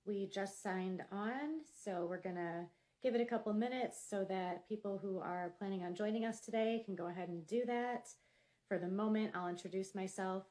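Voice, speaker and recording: A woman's voice: medium pitch, normal speed, dark tone. A woman hosting a live online interview in a conversational style.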